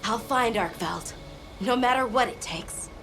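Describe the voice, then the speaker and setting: A woman voicing an earnest, determined young boy on a quest in a video game, speaking deliberately over music. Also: on the high side. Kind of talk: dramatic video game dialogue.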